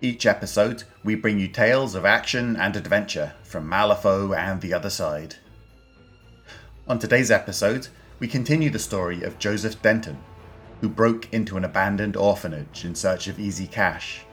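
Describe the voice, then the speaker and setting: A man with a deep voice introduces a tale of dark adventure. He reads in a scripted, dramatic announcer style while music plays faintly behind him. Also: normal speed. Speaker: podcast announcer. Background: music under the voice.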